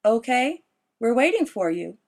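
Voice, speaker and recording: Woman reading as a motherly middle-aged woman, honeyed, coaxing, high and lively. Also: a little slow, exaggerated voice. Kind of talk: audiobook character dialogue.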